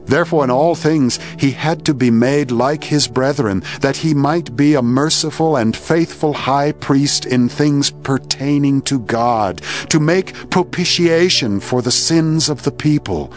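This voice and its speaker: A man reads scripture from a script as a narrator, in a solemn, measured and deliberate manner. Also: medium pitch. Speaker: Bible narrator. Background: background music.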